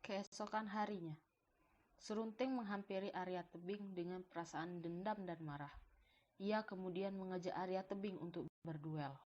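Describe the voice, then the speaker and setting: Female storyteller for an animated legend, slightly low pitch, scripted reading, somewhat muffled lo-fi sound. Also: normal speed. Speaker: narrator. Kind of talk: scripted folktale narration for a cartoon.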